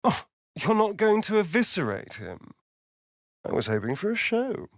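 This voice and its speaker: A man's voice: high voice, big pitch swings, moderate pace, no echo, studio quality. An actor playing a sly, vain male vampire rogue in a video game, with an arch, sardonic, theatrical drawl. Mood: arch, teasing. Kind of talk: video game character voice lines.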